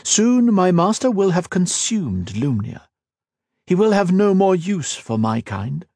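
A calm, coaxing elf woman who is secretly a monster, as a male narrator puts her on. The voice sits high for him and moves through a lively range, softly measured and persuasive. It is a dry, close storybook read. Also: no echo, heavily acted.

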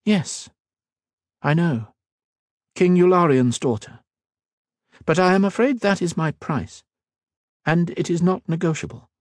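A man voicing a proud, wary queen who speaks in a haughty, cutting, measured way. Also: on the high side, lively intonation, dry recording, voice pushed up. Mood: commanding.

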